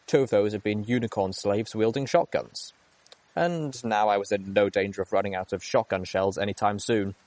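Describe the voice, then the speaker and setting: A man's voice: fairly low pitch, normal speed, darkish tone, dry recording. A man reading a first-person adventure story aloud as dramatic narration. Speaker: audiobook reader.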